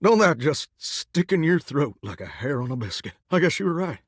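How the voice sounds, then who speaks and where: An actor voicing a lovesick talking shower in a game, with a drawl and a folksy, earnest way of talking. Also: male voice, a little high, sing-song, moderate pace, husky, no room sound, clean studio sound, heavily acted. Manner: folksy, earnest and sweetly corny. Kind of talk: video game character dialogue.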